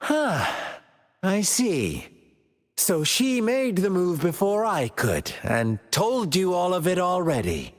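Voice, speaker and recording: A theatrical game villain who taunts and teases, his pitch swooping widely as he lingers slowly over each word. The voice rings with a long hall reverb. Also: wildly swooping pitch, heavily acted. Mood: sly. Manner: teasing, drawn-out, theatrical taunts. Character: mocking young male villain, theatrical and sly. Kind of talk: video game cutscene acting.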